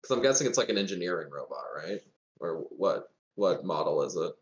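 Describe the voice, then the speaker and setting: Deep voiced guy playing a tabletop game, casual, echoey room and muffled audio. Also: lively intonation, brisk. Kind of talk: casual chat.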